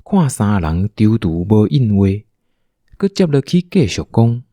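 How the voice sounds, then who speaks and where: Man, Taiwanese accent, low voice, animated storytelling, dry studio sound. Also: a little slow, no echo. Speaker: narrator. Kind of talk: scripted storytelling narration for an audio drama.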